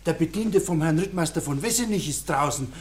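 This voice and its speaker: An actor playing a simple, deferential town hall underling in a radio play, hesitant and folksy. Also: male voice, on the high side, animated, brisk, slight background noise, natural voice. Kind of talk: German radio play acting.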